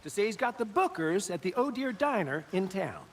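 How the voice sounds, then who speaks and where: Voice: medium pitch, big pitch swings, fairly quick, slight background noise, lightly acted. A performer playing a chatty, obliging small-town deputy in a video game, talking in a folksy, helpful way. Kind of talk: cinematic video game acting.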